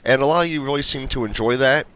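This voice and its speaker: A man's voice: medium pitch, quick pace, a bit bright. A stuffed-up young man who hosts a gaming channel, chatting casually with fillers as he comments over gameplay. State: stuffed up, congested. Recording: game gunfire noise behind.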